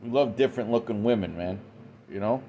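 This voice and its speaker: A man's voice: fairly low pitch, fairly quick, mellow. A man giving an informal religious talk, tagging nearly every sentence with "okay?" and "man", with frequent restarts. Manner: frequent restarts and repeated words. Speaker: religious commentator. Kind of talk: informal preaching monologue. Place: indoors, Western New York.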